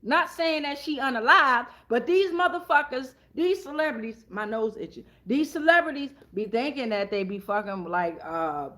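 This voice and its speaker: A woman's voice: high voice, animated, moderate pace, hardly any pauses, pushed and bright. A woman hosting an improvised tarot reading, talking casually in a blunt, slangy, profane way and repeating phrases for emphasis.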